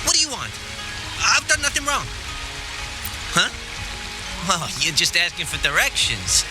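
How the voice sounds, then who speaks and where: A jittery local man in a game, full of suspicion and scorn. His pitch leaps around as he gossips in a scandalized, stammering way, with music playing behind him. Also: wildly swooping pitch, unhurried, heavily acted, rough recording. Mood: nervous, defensive. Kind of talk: video game dialogue acting.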